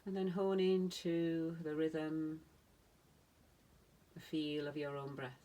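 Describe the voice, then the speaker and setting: A woman meditation teacher leading a guided relaxation in a soothing manner, speaking in short phrases with long pauses. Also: sits low, little pitch movement, warm tone. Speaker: yoga nidra meditation teacher.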